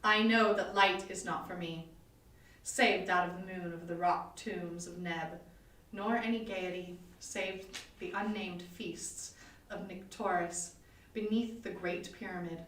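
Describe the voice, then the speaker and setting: A woman's voice: fairly low pitch, steady tone, fairly bright tone, a little hiss or hum. Echoey, solemn gothic outcast read by a woman. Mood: bleak, resigned. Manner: solemn, measured, brooding. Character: lonely, melancholy outcast narrator of gothic horror. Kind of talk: dramatic literary reading. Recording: echoey room, distant microphone.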